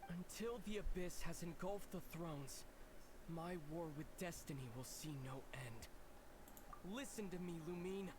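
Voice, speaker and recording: High-voiced, solemn young warrior. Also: male voice, background noise. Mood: wistful, resolute. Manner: grave, measured, formal. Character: solemn young warrior brother, resolute and wistful. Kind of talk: dramatic video game voice acting.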